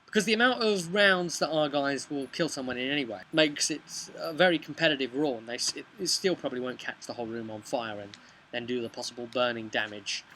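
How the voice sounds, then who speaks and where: A young man casually recapping a tabletop game as game master, halting at times and emphatic, recorded outdoors. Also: mid-range voice. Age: young adult. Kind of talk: casual vlog storytelling. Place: outdoors on a bench by a brick house. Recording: outdoor webcam with background noise.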